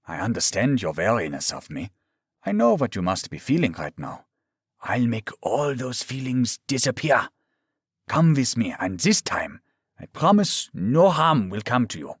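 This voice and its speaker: Audiobook villain: menacing doctor, played by a male narrator, smug, coaxing, falsely tender, swooping pitch, dry close sound. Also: very animated, unhurried, husky, no room sound, heavily acted. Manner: coaxing, mock-tender, threatening.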